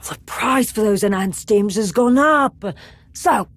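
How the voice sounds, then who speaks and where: Slow-talking shady dealer. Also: female voice, on the high side, no room sound. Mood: sly, taunting. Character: hard-bargaining shady female stim dealer. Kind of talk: video game voice acting.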